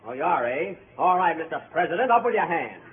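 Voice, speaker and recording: A man's voice: fairly high pitch, sing-song, fast. A man playing a boastful, bumbling outlaw leader in a 1940s radio comedy, with exaggerated theatrical delivery. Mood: gruff. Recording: noisy tinny old radio broadcast.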